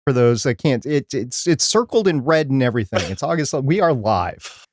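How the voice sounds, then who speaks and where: A man's voice: medium pitch, lively intonation, moderate pace, no room sound. Male podcast co-host, dry studio sound. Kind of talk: casual live podcast chat.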